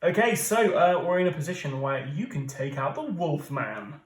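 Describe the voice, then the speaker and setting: A man's voice: on the high side, big pitch swings, moderate pace. A British man in his thirties hosting a board game playthrough, explaining rules and joking. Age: 30s. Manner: chatty, explains rules, jokey asides. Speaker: board game channel host. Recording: echoey room, mic at a distance.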